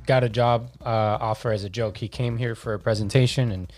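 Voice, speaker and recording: A man's voice: medium pitch, steady tone, fairly quick, darkish tone, soft background music. A man in his thirties from Argentina chatting casually as a podcast guest, slangy and joking. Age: 30s.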